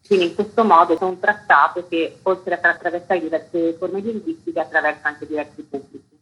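A woman's voice: on the low side, fairly even intonation, fairly quick, fairly bright tone, breathy edge, rough recording. A woman being interviewed on the radio as an artistic director, explaining a programme in detail.

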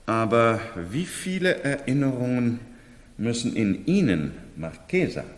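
An actor playing a self-important, lecturing doctor in a German radio play, with a pedantic, professorial manner. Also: male voice, mid-range voice, sing-song, measured pace. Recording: reverberant hall.

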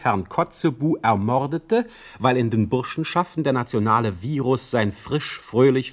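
An actor playing a proud, sarcastic male poet in a radio drama, eloquent and formally polite with a sardonic edge. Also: mid-range voice. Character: Heinrich Heine, proud sarcastic male poet under challenge.

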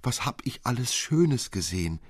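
Storybook little girl played by a man: raised pitch, husky edge, earnest, wide-eyed, insistent. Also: very animated, normal speed, no echo, studio quality. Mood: excited, earnest. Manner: breathless wonder, insistent. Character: eager, dreamy little girl pleading earnestly.

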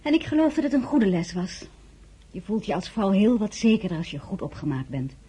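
An actress playing an elegant, composed woman of high rank in a radio drama, narrating and speaking in a measured, intimate way. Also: sing-song, mellow, slightly rough, poor audio. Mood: composed, brisk. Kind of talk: radio play acting with first-person narration.

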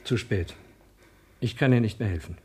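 A man's voice: lowish voice, normal speed, a little mellow, breathy edge. An actor playing a matter-of-fact male village doctor in a radio crime drama.

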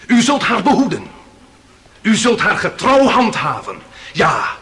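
An actor playing an impassioned defence lawyer making a grand, rhetorical appeal to a jury in a radio play. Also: male voice, high voice, huge pitch swings, measured pace, a bit bright, full character voice. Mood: grand, rousing. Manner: rhetorical, declamatory, emphatic. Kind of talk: Dutch radio play acting, courtroom oratory.

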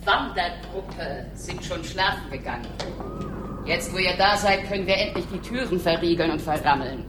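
A sinister, bossy female innkeeper of a castle , played by an actress, speaks with gleeful menace in a radio drama. Her voice rings in an echoing space, over loud background noise. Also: fairly low pitch, animated, normal speed, lightly acted. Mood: bossy, menacing. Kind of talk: German radio play acting.

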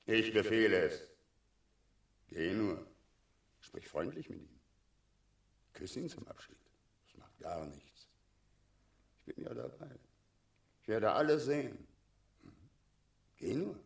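A man's voice: fairly low pitch, expressive, slow, vocal fry, pauses often, full character voice. An actor playing a cynical, tyrannical dragon in 1960s radio drama, sardonic and commanding as he savours each word. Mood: commanding, gloating. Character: cynical, tyrannical male dragon ruling a town. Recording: 1960s radio recording, distant from microphone.

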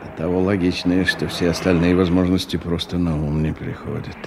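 An actor playing a weary, disillusioned middle-aged man thinking aloud in a radio drama, with halting, ruminative delivery. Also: sits low, little pitch movement, vocal fry. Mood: wry, musing. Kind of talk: radio drama interior monologue. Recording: 1990 radio recording with background noise.

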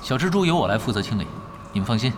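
An actor playing a calm, self-assured expert online gamer in an audio drama, casual and matter-of-fact. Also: male voice, medium pitch, very fast, background noise, close to an everyday voice. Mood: unhurried, matter-of-fact. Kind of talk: Chinese audio drama acting.

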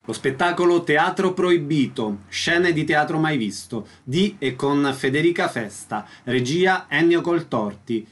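A man reading a scripted radio announcement as the presenter. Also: on the high side.

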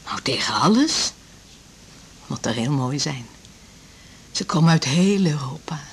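An actress in a radio drama playing a chatty, anxious divorced patient in her fifties, rambling and confiding in a breathy voice. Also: sits low, lively intonation, a little slow, heavily acted. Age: 50s. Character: chatty, anxious fifty-year-old divorced female patient. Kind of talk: naturalistic radio drama dialogue.

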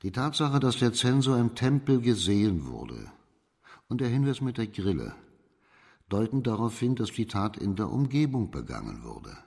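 An actor playing a shrewd, authoritative judge in a German radio crime drama, speaking in a measured, formal way. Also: male voice, medium pitch, very animated, creaky, a little mellow, husky, full character voice. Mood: grave, deliberate. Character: authoritative, shrewd male judge in imperial China.

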